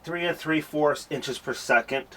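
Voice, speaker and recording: A man showing off an old tape machine in a casual walkthrough, speaking in an unhurried way and thinking aloud as he goes. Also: a little high, bright, forceful tone. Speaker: hobbyist showing a tape recorder.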